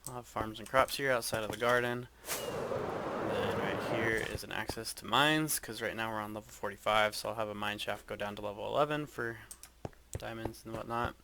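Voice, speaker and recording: A man giving a casual, hesitant walkthrough of his game base, speaking in a flat monotone with frequent ums. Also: lowish voice, moderate pace, noisy background. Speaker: gaming video creator.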